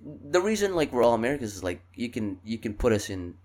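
A man's voice: medium pitch, sing-song, fast, a little mellow. A man from the Philippines co-hosting a podcast, talking earnestly and reflectively in a casual chat. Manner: earnest, reflective, repeats words. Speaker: podcast co-host.

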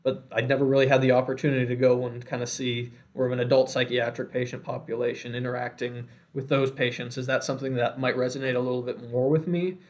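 A man's voice: medium pitch, little pitch movement, fast talker, few pauses. A young man in his twenties, a medical student, talking earnestly and reflectively in a casual discussion, giving advice from his own experience.

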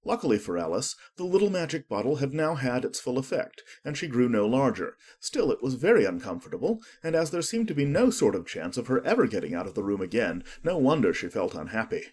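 Husky, expressive male storybook narrator. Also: mid-range voice, brisk, hardly any pauses. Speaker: audiobook narrator.

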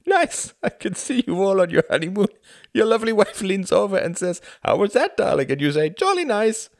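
A man's voice: sits high, big pitch swings, brisk, vocal fry, dry recording, studio quality, voice pushed up, heavily acted. A man doing a brooding young German man. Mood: teasing.

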